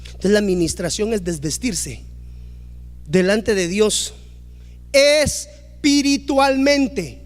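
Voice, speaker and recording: Pastor guy preaching hard, really high voice, builds up loud. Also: expressive, slow, long pauses. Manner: emphatic, rising to loud exclamations, acting out quoted dialogue. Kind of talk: preaching a sermon.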